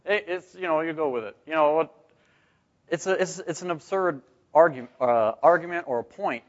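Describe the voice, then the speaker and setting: Higher-pitched man teaching Bible study. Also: normal speed. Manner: conversational, rhetorical questions, asks "right?". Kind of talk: informal scripture teaching to a group. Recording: dull, muffled lo-fi recording.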